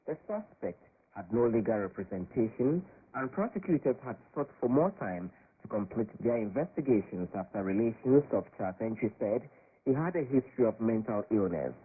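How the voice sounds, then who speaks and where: A man reading a scripted news report in a measured, formal way. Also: on the low side, animated, husky. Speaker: radio reporter. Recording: tinny shortwave radio.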